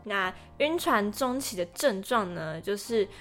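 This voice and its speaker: A young woman speaks Mandarin with a Taiwanese accent as a podcast co-host. Her delivery is conversational while moving through prepared points. Her pitch is ordinary for a woman, and music plays faintly behind her. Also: expressive, measured pace, a bit bright.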